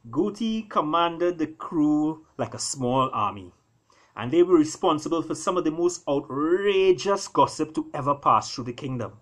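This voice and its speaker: A man's voice: fairly high pitch, animated, moderate pace. Man reading an animal fable with drama. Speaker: author reading own work. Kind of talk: expressive storytelling narration of animal fable.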